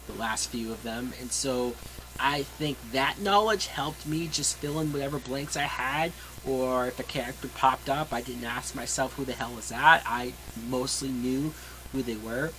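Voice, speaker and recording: A man's voice: medium pitch, unhurried, fairly bright tone, slight background noise, low-quality recording. A man reviewing a movie in a casual, opinionated way, with emphatic, blunt and sweary delivery. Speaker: film reviewer.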